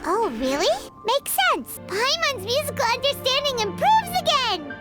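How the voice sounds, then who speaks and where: Squeaky, excitable little fairy companion. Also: female voice, unhurried, heavily acted. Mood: chirpy, chatty. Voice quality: squeaky, childlike. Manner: chirpy, exclaiming, refers to herself by name. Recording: music behind the voice. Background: game music.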